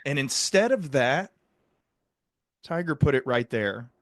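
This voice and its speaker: A man's voice: medium pitch, lively intonation, takes it slowly, fairly bright tone, dry recording. Man hosting an interview, dry close sound. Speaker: podcast host, interviewer. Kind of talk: interview conversation, retelling examples.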